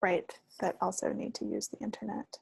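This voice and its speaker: Husky, creaky woman, playfully wry. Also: mid-range voice, steady tone, moderate pace, darkish tone, dry recording, low-quality recording. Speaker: podcast co-host. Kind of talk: casual chat.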